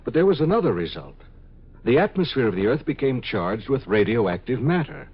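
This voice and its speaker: An actor playing a calm, detached scientist of the far future in a 1950s radio drama, speaking in a measured, clinical way. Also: male voice, medium pitch, breathy edge. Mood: explaining. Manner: measured, matter-of-fact, clinical. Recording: muffled 1950s radio recording.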